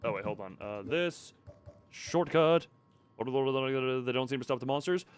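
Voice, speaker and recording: A man's voice: medium pitch, unhurried, smooth. A man giving casual live gameplay commentary, rambling, self-deprecating and thinking aloud. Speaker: video game streamer. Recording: faint background noise and game ambience.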